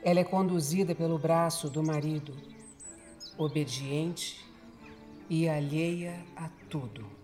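A narrator delivering scripted dramatic narration for a horror radio drama in a measured, deliberate storytelling manner. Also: medium pitch, over music.

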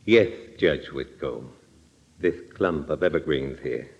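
An actor playing a soft-spoken, menacing killer in an old-time radio drama, hushed and formally polite. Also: male voice, very deep voice, lively intonation, heavily acted. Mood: quietly menacing. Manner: slow, formal, eerily polite. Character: soft-spoken menacing strangler who claims he is dead. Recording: muffled vintage radio recording.

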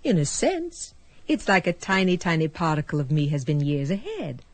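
Airy, rambling female space traveler, lowish voice, very melodic delivery, dry studio radio play. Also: sing-song, normal speed, no room sound. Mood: whimsical. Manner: rambling, airy, matter-of-fact about absurdities. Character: whimsical, scatterbrained woman space traveler. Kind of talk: radio drama acting.

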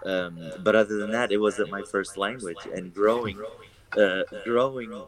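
Rambling man with a lowish voice. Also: steady tone, normal speed. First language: English. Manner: rambling, many fillers and restarts. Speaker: real estate broker guest. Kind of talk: casual interview talk.

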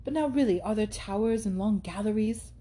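A woman's voice: mid-range voice, fairly quick, warm tone, close to an everyday voice. A woman reading aloud and voicing an eager, hesitant young heroine who asks earnest, anxious questions.

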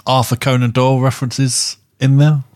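Mid-pitched male podcast co-host. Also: flat delivery, normal speed, a little mellow. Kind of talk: casual chat.

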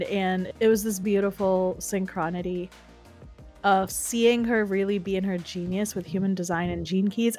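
Woman, podcast host, enthusiastic, motivational, warm mellow tone, soft background music. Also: medium pitch. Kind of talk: scripted podcast intro, then casual interview chat.

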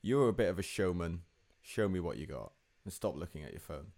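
A man's voice: sits low, very animated, brisk, creaky, a little mellow. A young man hosting a podcast, rambling and self-mocking, laughing often in casual chat. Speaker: podcast host.

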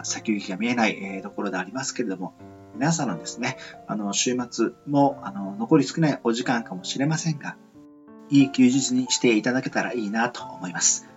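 A man hosting a casual radio talk show in a polite, rambling, hesitant way. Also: fairly low pitch. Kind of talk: casual interview and sign-off chat. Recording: muffled lo-fi with faint music.

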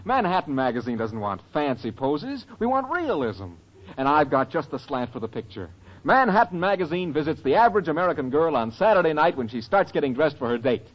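A pushy, excitable magazine photographer from a 1940s radio comedy. His pitch is raised and swoops widely, with a husky edge. The old recording is dull and lo-fi. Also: brisk. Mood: excited, approving. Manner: excitable, emphatic. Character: eager, pushy magazine photographer, grown man.

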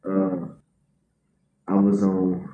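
Slow, low-voiced man in a roomy space. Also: monotone, warm tone, lo-fi sound. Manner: halting, full of fillers and restarts. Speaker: game player giving clues. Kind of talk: casual guessing game with friends.

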